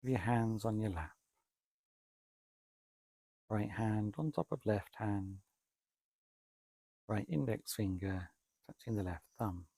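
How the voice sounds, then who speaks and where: A man leading a guided meditation, speaking calmly and deliberately in short phrases with long pauses between them. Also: fairly low pitch, no room sound, studio quality. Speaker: meditation teacher, Buddhist monk.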